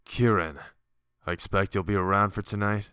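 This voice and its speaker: A man playing a stern, commanding cloaked mayor in a fantasy game audiobook, speaking in measured phrases. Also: fairly low pitch, steady tone, bright, forceful tone, clear, resonant tone, no room sound, studio quality. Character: cloaked, masked town mayor, stern and somewhat hostile tank. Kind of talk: audiobook character acting.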